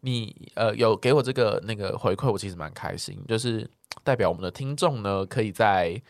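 A talkative, expressive man co-hosting a podcast, chatting casually as he replies to listener comments. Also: fairly low pitch, brisk, no echo, clean studio sound. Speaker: podcast co-host.

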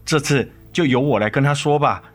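A man playing an earnest, repentant father in a radio drama, gentle and reassuring. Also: medium pitch, brisk, faint music behind, close to an everyday voice.

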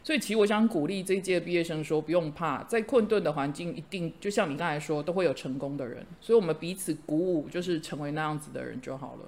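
A man's voice: fairly high pitch, fast, creaky, few pauses. A middle-aged man, a teacher hosting a chat podcast, earnest and encouraging, fond of idioms. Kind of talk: casual chat.

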